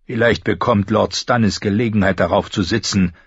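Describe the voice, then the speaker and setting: A man's voice: lowish voice, moderate pace, breathy edge, no room sound, highs cut off. A man voicing a proud, scornful old knight in an audiobook. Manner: contemptuous, stern.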